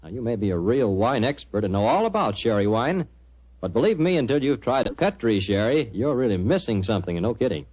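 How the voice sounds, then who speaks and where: A man's voice: medium pitch, very animated, a little fast, breathy edge. A man with an American accent announcing for a 1940s radio show in a chummy, emphatic salesman's manner. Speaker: radio announcer and sponsor's pitchman. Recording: muffled 1940s radio broadcast.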